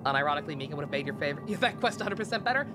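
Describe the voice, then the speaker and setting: A woman's voice: low-pitched, expressive, very fast. A woman game streamer giving casual, sweary live commentary in a sarcastic, ranting manner. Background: game music.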